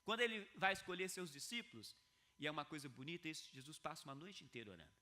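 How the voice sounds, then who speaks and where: A male pastor giving an earnest video sermon, often repeating key phrases for emphasis and swinging between quiet, low passages and loud, high ones. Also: animated, fast talker.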